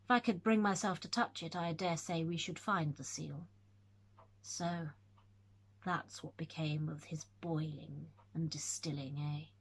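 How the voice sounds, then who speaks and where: A woman voicing a gruff older country squire who speaks bluntly, with impatience and dry sarcasm. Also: deep voice, fairly even intonation, measured pace, pitched below the performer's own voice, full character voice. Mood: grim, sardonic. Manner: brusque, sardonic.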